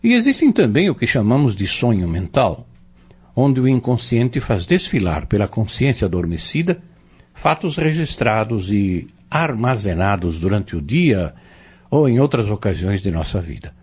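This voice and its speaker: A man playing a patient, earnest Spiritist teacher in an audio drama, explaining step by step in a measured, didactic way. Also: on the low side, big pitch swings, a little mellow, slightly rough. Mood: patient, instructive. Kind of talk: scripted audio drama dialogue. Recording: dull lo-fi audio.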